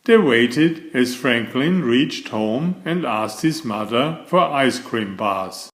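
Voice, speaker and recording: Slow, expressive male storybook reader. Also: medium pitch. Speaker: storybook narrator. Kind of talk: scripted children's bedtime story narration. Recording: echoey room.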